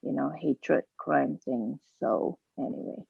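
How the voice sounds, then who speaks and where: Man, panel guest, rambling, gravelly, tinny phone-like audio. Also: medium pitch, takes it slowly, dry recording. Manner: rambling, many fillers and restarts. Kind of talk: casual sharing on video call.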